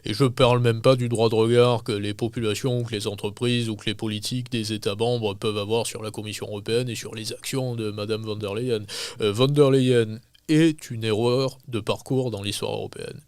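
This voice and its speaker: Low-voiced man, measured and emphatic. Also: dry recording. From France. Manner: measured, emphatic, critical commentary. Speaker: guest analyst. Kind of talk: podcast interview.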